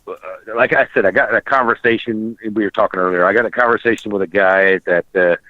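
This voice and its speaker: A man's voice: lowish voice, quick pace, no echo. A man co-hosting a casual radio chat, rambling as he retells a conversation. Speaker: show co-host. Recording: phone line call-in.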